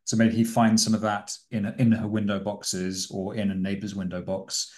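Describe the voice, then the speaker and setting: Tentative man with a lowish voice. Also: completely flat delivery, moderate pace, darkish tone. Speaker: player in a tabletop role-playing game. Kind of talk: casual improvised storytelling.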